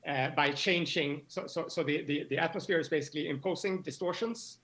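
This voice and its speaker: A man explaining science as an interview guest, halting and often repeating words as he goes. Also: on the high side, fairly bright tone. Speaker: astronomy professor, interview guest.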